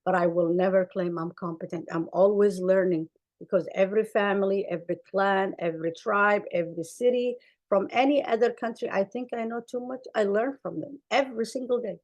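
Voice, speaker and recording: A woman's voice: mid-range voice, fairly quick, darkish tone, dull audio. Earnest woman presenting. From Somalia. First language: Arabic. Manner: earnest, emphatic, repeats phrases for stress. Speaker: clinician presenting. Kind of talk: conference talk with slides.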